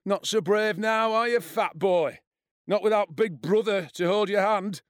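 Audiobook bully boy performed by a man: foul-mouthed, cocky, taunting, high and very animated, dry studio sound. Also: normal speed, no room sound. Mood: gleeful menace. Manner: taunting, sneering delivery. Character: cruel, swaggering schoolboy bully, jeering and foul-mouthed.

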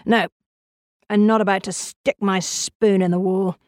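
A cantankerous, gouty old gentleman from a period storybook, acted out by a woman. The voice is scratchy and shrill, full of suspicion and bossy exclamations, in a very dry recording. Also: fairly even intonation, a little slow, no echo, lightly acted. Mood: gruff contempt. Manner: cantankerous, exclaiming, barking orders.

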